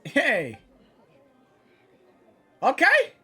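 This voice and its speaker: A woman reacting live to a video game story in an excitable, exclamatory way. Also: high voice, extremely slow, some chatter behind.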